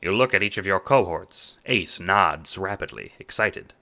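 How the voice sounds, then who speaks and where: A man narrating a second-person audio drama in a dramatic, deliberate way. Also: sits low, expressive, creaky, sharp tone. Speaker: narrator and producer.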